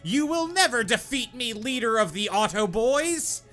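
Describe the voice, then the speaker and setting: A man voicing a ranting male giant robot villain, shouting and laughing through the lines. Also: very high-pitched, big pitch swings, moderate pace, soft background music, voice pushed up, full character voice. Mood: gloating.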